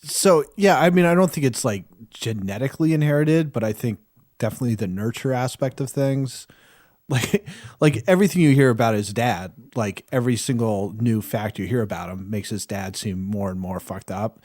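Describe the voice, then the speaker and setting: A man's voice: medium pitch, normal speed, a little mellow, no room sound, clean studio sound. A man chatting as a podcast panelist, thinking aloud in a tentative, casual way. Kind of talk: casual chat.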